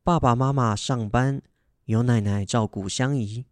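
A man's voice: mid-range voice, a little slow, no echo, studio quality. A young man reading scripted narration for a children's radio drama as its narrator. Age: young adult.

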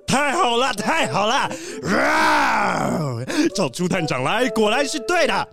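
A man playing an excitable, fretful treasure collector leading an expedition, fussy and dramatic, in a children's audio drama. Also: very high-pitched, takes it slowly, vocal fry, soft background music, exaggerated voice. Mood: overjoyed.

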